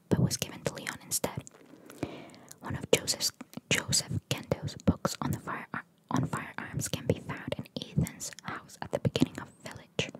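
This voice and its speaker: A woman whispering in a breathy, unvoiced voice in an informal, chatty ASMR style, reading and commenting casually. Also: poor audio. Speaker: ASMR creator.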